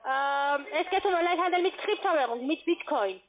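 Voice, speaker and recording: Woman, high voice, non-native accent, formal sales script, tag questions, tinny telephone audio. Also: expressive, a little fast, slight background noise. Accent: foreign. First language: not German. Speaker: call centre sales agent. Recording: narrow-band phone line.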